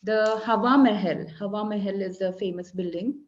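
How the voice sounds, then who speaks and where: A woman from Kerala with an Indian English accent, whose first language is Malayalam, chatting and tutoring slowly and encouragingly on a video call. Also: medium pitch, highs cut off. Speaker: English conversation teacher. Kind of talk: casual tutoring chat.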